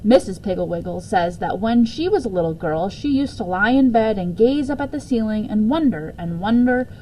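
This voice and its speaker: A woman reading a children's book aloud as a storyteller, expressively and deliberately, with occasional stumbles and self-corrections. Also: medium pitch, expressive, mellow, slight background noise, rough recording. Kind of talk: read-aloud children's story with casual asides.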